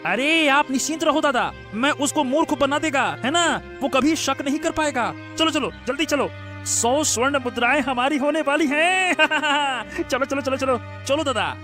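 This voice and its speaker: A man voicing a cocky, excitable younger brother, a sly accomplice in a moral-story cartoon. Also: extremely high pitch, normal speed, soft background music. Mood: gleeful. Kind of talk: animated Hindi moral-story dialogue.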